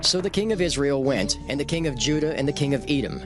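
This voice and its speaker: A man's voice: medium pitch, expressive, fast, music in the background. A man narrating dramatized scripture, telling the archaic text as formal storytelling.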